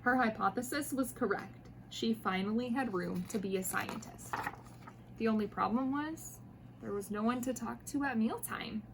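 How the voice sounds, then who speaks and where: A woman reading picture books aloud to children in an expressive, playful way, talking directly to her young listeners. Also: a little high, normal speed, vocal fry, background noise. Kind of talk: animated storybook read-aloud.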